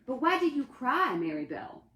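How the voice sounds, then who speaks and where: In an echoey room, a woman plays a magical river mermaid from a folktale, kindly and protective. The voice is folksy and animated, with lively rises and falls. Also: sits high, moderate pace, voice pushed up. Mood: curious, caring.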